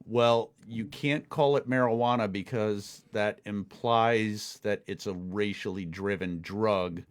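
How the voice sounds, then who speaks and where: A man with a somewhat low voice co-hosts a relaxed podcast. He mostly drops in short, dry remarks and reads news items in a plain, easygoing way. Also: slow pace. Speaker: podcast co-host. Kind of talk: casual comedic chat.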